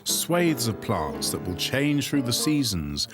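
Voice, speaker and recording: A man presenting a garden documentary with a lively, up-and-down voice. He explains things in a measured way. Music plays clearly behind him. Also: medium pitch. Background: background music.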